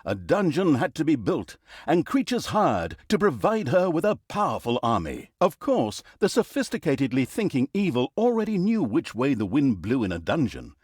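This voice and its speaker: A man's voice: fairly high pitch, wildly swooping pitch, moderate pace, hardly any pauses, a little mellow, breathy edge, no room sound, clean studio sound, full character voice. A man playing a snarky, self-aware storyteller in a fantasy video game, narrating with pompous, mock-grandiose flourish and wry asides. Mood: wry, mock-grand.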